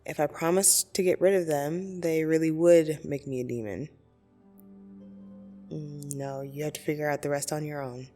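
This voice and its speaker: A woman playing a blunt, teasing yet soothing dream demon in an ASMR roleplay, speaking deliberately and intimately with gentle condescension. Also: low voice, fairly even intonation, close to an everyday voice. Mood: fond, matter-of-fact. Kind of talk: ASMR roleplay audio drama. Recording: soft music behind the voice. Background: soft meditation music.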